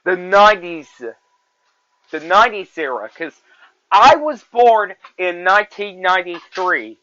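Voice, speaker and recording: Rambling young man, high bright voice. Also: measured pace, no echo, dull audio. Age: 20s. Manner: rambling, repeats himself, many fillers. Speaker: podcast host. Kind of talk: solo movie review podcast.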